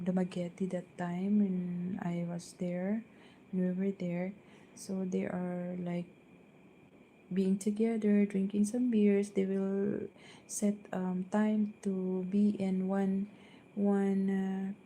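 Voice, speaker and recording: Soft-spoken filipina lady chatting on a live stream at home, slow and flat, lots of ums, faint hum behind. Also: medium pitch, rough recording. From Philippines. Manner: hesitant, many fillers and restarts. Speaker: vlogger. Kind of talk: casual live-stream chat. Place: downstairs at home.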